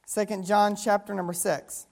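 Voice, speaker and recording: A woman preaching a sermon, reading scripture slowly and asking the listeners to fill in the words. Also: medium pitch, flat delivery, darkish tone. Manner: call-and-response questions, reading scripture slowly.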